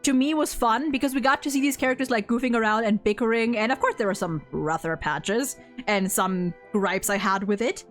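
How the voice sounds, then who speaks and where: A woman hosting a stream, chatting casually and gushing in an animated, blunt, sweary way. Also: a little high, a little fast, hardly any pauses, faint music behind. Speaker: host of a game ranking stream.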